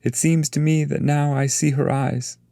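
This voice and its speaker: A man's voice: fairly high pitch, level tone, normal speed, creaky, warm tone, no room sound, studio quality, voice pushed up. A man voicing a wise, fatherly poet guide in a dramatic reading, earnest and coaxing. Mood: comforting.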